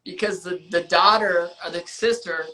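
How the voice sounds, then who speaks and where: Low-voiced chatty woman hosting. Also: steady tone, moderate pace, sharp tone, poor audio. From United States. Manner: loose and chatty, many fillers and restarts. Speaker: film club host. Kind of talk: casual livestream chat.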